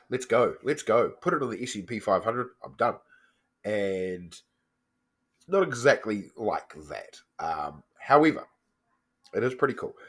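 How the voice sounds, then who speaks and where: A man with a New Zealand accent talks in a somewhat low voice that is slightly croaky from a cold. His delivery is loose and chatty, full of ums and quick corrections as he points things out on screen. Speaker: personal finance vlogger. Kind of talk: casual screen-share walkthrough.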